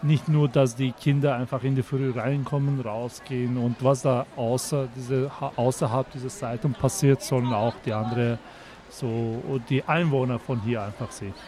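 A man explaining a project in an outdoor interview, halting and searching for words. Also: mid-range voice, fairly even intonation, mellow. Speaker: interview guest. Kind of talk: casual spoken explanation. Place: outdoors at a street festival.

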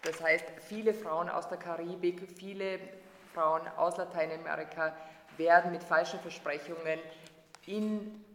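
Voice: mid-range voice, fairly even intonation, unhurried, fairly bright tone. An interpreter translating a lecture, hesitant with frequent fillers and restarts. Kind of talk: consecutive interpreting. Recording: echoey hall.

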